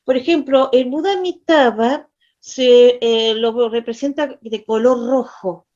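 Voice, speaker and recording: A woman's voice: on the high side, unhurried, darkish tone. A woman from Argentina with an Argentine Spanish accent, chatting casually on a video call and searching for her words as she asks about images. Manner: rambling, hesitant, searches for words. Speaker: participant in online Dharma session. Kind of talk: casual conversation, asking questions. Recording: dull lo-fi video call audio.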